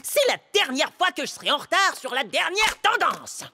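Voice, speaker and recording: A performer voicing an excitable, eager amoeba schoolboy who tries to sound cool in a French cartoon dub, exclamatory and whiny. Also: high voice, moderate pace, slight background noise, heavily acted. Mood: exasperated. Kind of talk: French animated comedy dub. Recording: music behind the voice.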